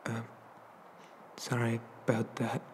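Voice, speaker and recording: A man playing a shy, awkward, inexperienced young incubus demon in an ASMR roleplay, stammering and apologetic, with a husky, breathy voice. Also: mid-range voice, completely flat delivery, slow pace, long reverb tail, a little hiss or hum, natural voice.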